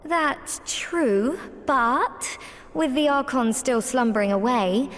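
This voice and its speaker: An actress playing an amiable, practical adventurer in a fantasy game, talking in a polite, conversational way. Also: female voice, sits high, animated, slow pace, fairly bright tone, full character voice. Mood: friendly, practical. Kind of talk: fantasy game cutscene acting. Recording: long reverb, noisy ambience behind.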